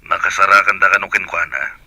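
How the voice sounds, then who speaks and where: An actor playing a steady, helpful male friend on a phone call in an Ilocano radio drama. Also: deep voice, a little fast, gravelly, full character voice. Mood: attentive. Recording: music under the voice.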